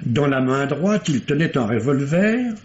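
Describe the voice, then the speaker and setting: An actor playing a solemn, wordy male doctor in a vintage radio mystery, with pompous, deliberate, literary delivery. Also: mid-range voice, very animated, a little mellow. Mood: grave, precise. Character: formal, verbose, solemn male country doctor. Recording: dull, noisy old radio recording.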